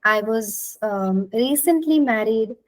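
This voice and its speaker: A woman's voice: fairly high pitch, lively intonation, slow. An Indian woman from Maharashtra, a native Marathi speaker, giving a conversational, encouraging online talk and inviting participants to respond. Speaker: speaker leading an interactive talk, clinical psychologist. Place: online video call.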